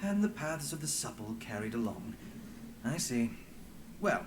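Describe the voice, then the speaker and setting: An actor playing a sly, glib bard and underworld agent in a video game, with a flamboyant, teasing and florid delivery. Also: male voice, medium pitch, big pitch swings, a little slow, noisy background. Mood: arch. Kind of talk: scripted video game acting.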